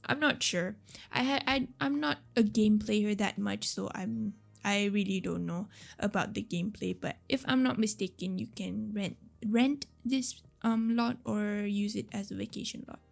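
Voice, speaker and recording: A woman giving casual, unscripted voice-over commentary on a game build, rambling and unsure, with a slightly raspy, tired voice. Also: mid-range voice, normal speed. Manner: rambling, unsure, apologetic, giggly. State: voice tired from talking a lot. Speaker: gaming video creator narrating a build. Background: background music.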